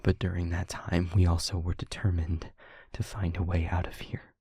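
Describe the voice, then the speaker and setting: A man playing a weary demon in an intimate audio roleplay, speaking earnestly and haltingly in a breathy half-whisper. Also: sits low, level tone, dark tone, no echo. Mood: somber, resigned. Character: weary male demon confiding a painful truth. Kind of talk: scripted audio roleplay, intimate monologue to listener. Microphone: close microphone, dry.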